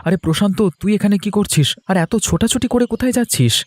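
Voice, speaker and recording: Man voicing an excitable, fast-talking village husband. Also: high-pitched, no echo. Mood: alarmed, puzzled. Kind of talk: Bengali moral cartoon dialogue.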